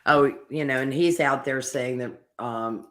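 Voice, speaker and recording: A panelist in a casual political discussion, with a medium-pitched voice. The delivery is conversational and sarcastic, with swearing mixed freely into the commentary. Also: measured pace, fairly bright tone. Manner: sarcastic, profane. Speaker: talk show panelist.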